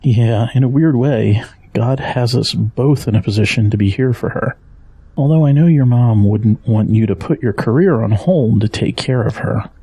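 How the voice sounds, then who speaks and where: An actor playing a gentle, worried middle-aged father in an audio drama, speaking in a measured, earnest way with a husky voice. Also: medium pitch, no echo. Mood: worried, weary.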